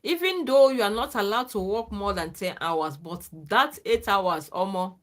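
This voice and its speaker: A woman hosting a live stream speaks in earnest, casual advice to her viewers. She is not a native English speaker, with a voice of ordinary pitch for a woman, and often checks in with a questioning "okay?". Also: expressive, moderate pace. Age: adult, former lecturer. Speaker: livestream host.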